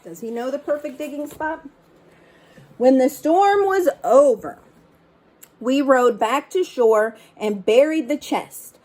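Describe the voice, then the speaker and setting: A woman's voice: high voice, expressive, takes it slowly, darkish tone, rough recording. A middle-aged woman reading a picture book aloud and chatting casually to young listeners. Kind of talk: read-aloud storytelling with casual asides.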